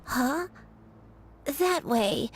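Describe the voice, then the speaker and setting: A woman voicing a frail, gentle, forgetful old woman in an anime game, wistful and halting. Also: high-pitched, expressive, heavily acted. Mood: puzzled.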